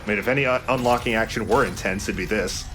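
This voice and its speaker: A man's voice: on the low side, quick pace, over music. A man hosting a let's play with casual, conversational commentary over a video game.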